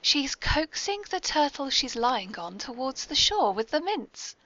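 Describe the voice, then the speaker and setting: Genteel, mischievous British hostess, played by a woman, formal and prim, fairly high register, muffled lo-fi podcast sound. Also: sing-song, measured pace, pushed and bright, exaggerated voice. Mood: arch, amused. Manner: prim, formal, arch, polite. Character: genteel, mischievous lady mastermind with secret lair. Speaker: podcast host. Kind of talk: scripted comic audio drama. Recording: dull lo-fi podcast recording.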